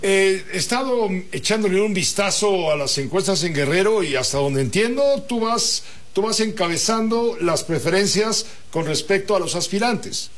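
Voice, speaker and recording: High-voiced male interviewer, probing. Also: animated, moderate pace. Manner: probing, restates points before asking. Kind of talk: news interview questions.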